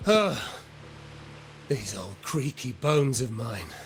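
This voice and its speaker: A man's voice: mid-range voice, big pitch swings, slow pace, a little hiss or hum. An actor playing a wry, aggrieved old man in a video game, grumbling and indignant. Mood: weary indignation. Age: older.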